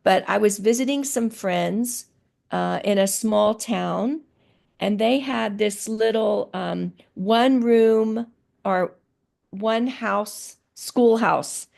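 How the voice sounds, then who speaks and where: A middle-aged woman talks slowly and carefully on a video call, pausing often to gather her thoughts. Her voice has a gravelly, creaky texture. She answers in a relaxed, conversational way. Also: mid-range voice. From grew up in Thailand. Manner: slow, thoughtful, with many pauses and fillers. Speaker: interviewee, teacher. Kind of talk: casual interview answers.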